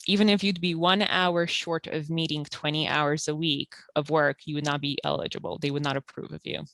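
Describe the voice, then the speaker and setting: A woman who works at a community health center, calmly explaining eligibility rules and documents step by step with frequent fillers. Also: low voice, brisk, dry recording, rough recording. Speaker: health center benefits counselor. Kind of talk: informational interview.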